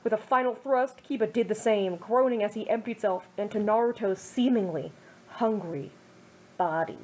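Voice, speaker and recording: A woman's voice: mid-range voice, normal speed, darkish tone, a little hiss or hum, rough recording. A young woman reading her own fan fiction aloud, then adding wry, self-mocking commentary.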